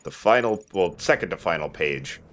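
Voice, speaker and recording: A man's voice: low voice, animated, moderate pace, a bit bright, slight background noise. A man giving casual, joking gameplay commentary in an informal way. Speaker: let's play co-host, player. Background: video game music.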